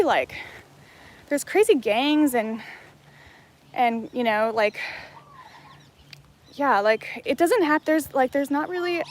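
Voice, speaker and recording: A woman's voice: high voice, slight background noise. A woman from Hawaii on a casual livestream, reading her chat aloud and rambling with lots of fillers and restarts while out on a walk. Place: outdoors on a jungle walk.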